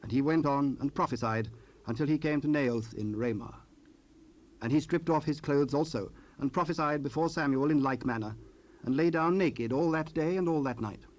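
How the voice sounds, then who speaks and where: A man's voice: a little high, normal speed. A man narrating scripture from a script in a solemn, formal storytelling style.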